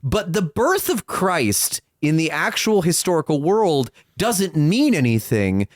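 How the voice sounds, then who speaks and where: A man with a fairly high voice speaks as a podcast co-host. He argues his points emphatically and conversationally, with swinging intonation. The recording is dry and close. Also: sing-song, measured pace, dry recording. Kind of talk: casual comedic podcast discussion.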